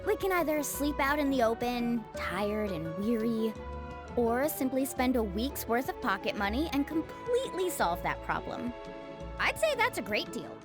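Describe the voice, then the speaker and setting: Young female adventure guide for a game: high-pitched, animated, breezy, friendly and headstrong. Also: moderate pace, over music. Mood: playfully assured. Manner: breezy, assured. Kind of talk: video game voice acting.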